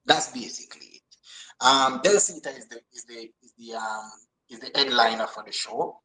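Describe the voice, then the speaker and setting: A man's voice: mid-range voice, normal speed, bright, forceful tone, rough recording. A man from Jamaica with a Jamaican accent, chatting casually as an interview guest and repeating phrases for emphasis. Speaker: guest, actor and comedian.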